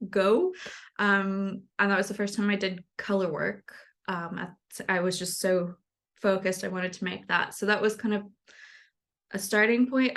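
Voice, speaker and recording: A young adult woman from the United States, a knitwear designer, talking casually in an interview with a rambling manner. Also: medium pitch. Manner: relaxed, rambling, frequent fillers and light laughs.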